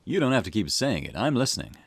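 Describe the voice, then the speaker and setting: A man's voice: on the low side, very animated, fast talker, creaky, no room sound, exaggerated voice. A man voicing an eager, cheeky junior police detective in a visual novel, chatty and teasing. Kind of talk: acted visual novel dialogue. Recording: music behind the voice.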